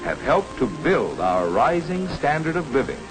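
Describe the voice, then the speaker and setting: An actor playing an earnest, reassuring American stockbroker who explains things in an instructive, salesmanlike way in a 1950s educational cartoon. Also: male voice, fairly high pitch, very animated, normal speed, rough recording. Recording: 1950s film soundtrack with music. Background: music.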